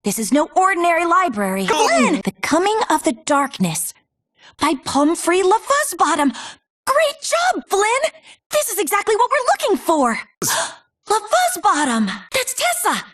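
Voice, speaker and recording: A heroic young adventurer woman in a video game, with a high and very lively voice. She is brisk and earnest, bossing and encouraging her team with real care, recorded close and dry. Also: no echo, full character voice. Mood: urgent. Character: spirited young female adventurer, bossy, caring, heroic. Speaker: video game character, dispatcher and guide. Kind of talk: animated video game voice acting.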